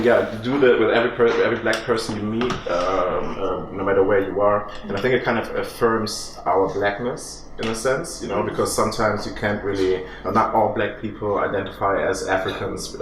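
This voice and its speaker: A man's voice: on the low side, fairly even intonation, a little fast, hardly any pauses. A man from Cologne, a community organizer, speaking thoughtfully as a panel guest. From Cologne, Germany. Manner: thoughtful, earnest. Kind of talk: casual chat. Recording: echoey room with background noise.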